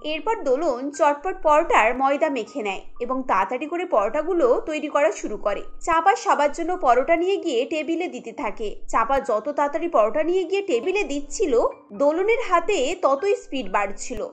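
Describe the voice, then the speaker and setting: A woman's voice: sits high, lively intonation, normal speed, soft background music. A woman giving scripted narration for a cartoon story.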